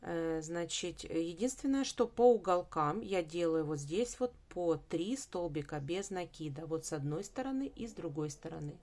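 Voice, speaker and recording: A woman's voice: on the low side, expressive, normal speed, few pauses, darkish tone. A woman hosting a craft tutorial, explaining each step in a methodical way. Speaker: crochet tutorial host.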